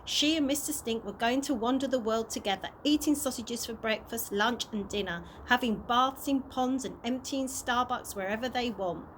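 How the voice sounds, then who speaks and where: A woman with a fairly high voice reads a children's story aloud. Her storytelling is expressive, and the homemade recording has faint noise. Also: moderate pace, few pauses. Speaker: early years practitioner reading a story. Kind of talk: children's audiobook read aloud. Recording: noisy amateur home recording.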